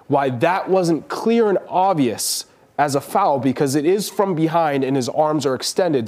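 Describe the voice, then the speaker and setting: A man's voice: a little high, a little mellow. A man on a podcast pressing for answers, sounding confused and incredulous. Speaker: podcast co-host. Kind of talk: podcast discussion.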